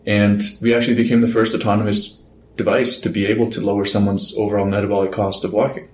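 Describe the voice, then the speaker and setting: A man from Iceland, a guest engineer explaining his work in a measured, unhurried way. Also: on the low side, flat delivery, a little mellow, echoey room, a little hiss or hum. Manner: measured, explanatory, with small laughs. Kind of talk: casual interview.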